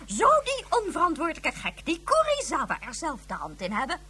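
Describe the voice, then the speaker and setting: An actress playing an alarmed, indignant stateswoman in a radio play, shrill and quick to outrage. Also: wildly swooping pitch, bright, forceful tone, heavily acted. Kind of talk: 1970s radio drama acting.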